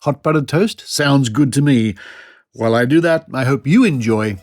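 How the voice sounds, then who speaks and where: A man's voice: medium pitch, normal speed, over music. A man hosting a podcast, introducing the episode in a chatty, wry way. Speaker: podcast host.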